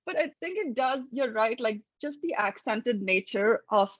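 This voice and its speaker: A woman speaking as a panelist in an academic discussion, reflective and measured, over a video call. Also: fairly high pitch, expressive, no echo, highs cut off.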